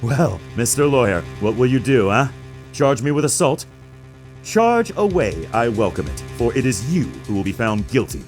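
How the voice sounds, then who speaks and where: A man's voice: mid-range voice, big pitch swings, normal speed, faint music behind, natural voice. An actor playing a pompous, menacing corporate boss in a video game, sneering and theatrical as he talks down to people. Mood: smug, menacing. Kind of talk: dramatic video game character acting.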